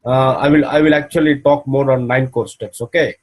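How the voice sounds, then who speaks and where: A man's voice: mid-range voice, steady tone, fairly quick, a little mellow. A man coaching someone over a video call, explaining steps patiently and checking in with "okay?" after each point. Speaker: business mentor.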